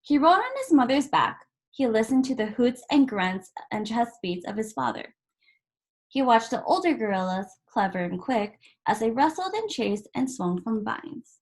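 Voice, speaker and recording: Higher-voiced woman reading a children's book aloud. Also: sing-song, normal speed. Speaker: volunteer story reader.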